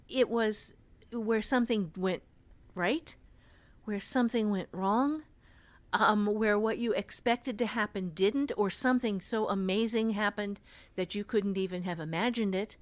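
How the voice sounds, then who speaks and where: Female podcast co-host, mid-pitched, conversational advice and storytelling, recorded dry. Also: no room sound. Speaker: podcast co-host on fiction writing. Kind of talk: casual conversational advice and anecdotes.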